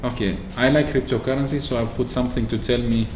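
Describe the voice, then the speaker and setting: A man from Oman chatting casually through a software walkthrough, explaining with fillers and restarts. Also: mid-range voice, fairly even intonation, fast, faint music behind. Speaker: tech video host. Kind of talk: screen-recorded software walkthrough. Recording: echoey room with faint ambience.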